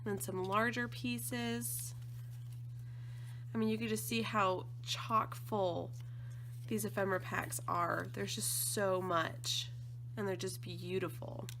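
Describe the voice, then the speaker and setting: A woman with a slightly croaky voice from allergies, chatting casually and gushing with enthusiasm as she unboxes craft supplies. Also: medium pitch, fairly even intonation, takes it slowly, background noise. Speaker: scrapbooking design team member.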